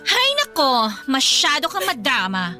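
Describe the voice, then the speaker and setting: Bright, high-pitched snobbish rich girl. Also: huge pitch swings, unhurried, soft background music, exaggerated voice. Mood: haughty, mocking. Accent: Filipino. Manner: taunting, sneering, haughty. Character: spiteful, snobbish young rich girlfriend, jealous rival. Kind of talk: Filipino radio drama acting.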